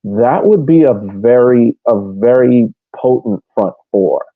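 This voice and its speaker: A man's voice: medium pitch, lively intonation, slow, no echo. A man co-hosting a podcast, giving casual sports analysis over a tinny, phone-like line. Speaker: podcast co-host.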